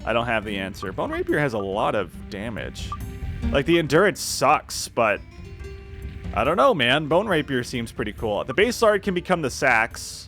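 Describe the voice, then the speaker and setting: A man with a medium-pitched voice commentates a video game playthrough. He is chatty and casual, wandering off on tangents and poking fun at himself. Faint music plays behind his voice. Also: very animated, normal speed, fairly bright tone. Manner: chatty, rambling, self-deprecating. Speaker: gaming video host.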